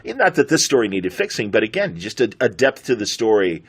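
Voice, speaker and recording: A middle-aged American man hosts an interview podcast in an easy, conversational style. His voice is husky and rough-edged, and he talks with a fan's open enthusiasm. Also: lowish voice, very animated, fairly quick. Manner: enthusiastic, fannish. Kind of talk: casual interview chat.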